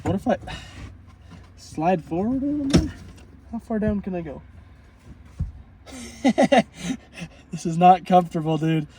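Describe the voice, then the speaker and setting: A young man with a cold-raspy voice chatting excitedly to camera while car camping by a lake, casual and full of "dude" and "like". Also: sits high, a little slow, long pauses, slight background noise. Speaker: vlogger. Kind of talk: casual vlog chat to camera.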